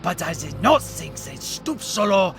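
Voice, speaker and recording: A man's voice: fairly high pitch, sing-song, measured pace, gravelly, music in the background, heavily acted. A man playing a sly, smug rogue with a teasing, theatrical delivery in a fantasy audio drama. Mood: smug menace. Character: sly, taunting male rogue scheming a shakedown.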